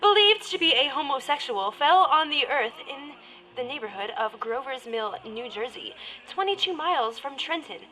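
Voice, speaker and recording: Woman playing a bright, high-voiced radio-station intern. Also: lively intonation, moderate pace, slight background noise, rough recording. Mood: formal announcing. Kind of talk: comedic radio parody acting.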